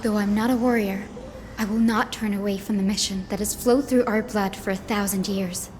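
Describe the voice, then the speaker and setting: A woman playing a gentle, earnest young female healer in a video game, speaking in a solemn, polite and formal manner. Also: mid-range voice, fairly even intonation, normal speed, a little hiss or hum, lightly acted. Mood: resolute. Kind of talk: scripted video game voice acting. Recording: game audio with background music. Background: music.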